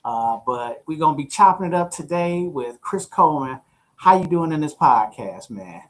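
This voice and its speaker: A middle-aged American man hosting a podcast, chatting casually in a rambling, slangy, animated storytelling way. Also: on the high side, normal speed. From St. Louis, USA. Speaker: podcast host. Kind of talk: casual chat.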